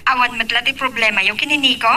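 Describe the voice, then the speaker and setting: A woman's voice: on the high side, fast, pushed and bright. A woman acting a protective, plain-spoken older sister in an Ilocano audio drama, with a Filipino Ilocano accent. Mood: caring.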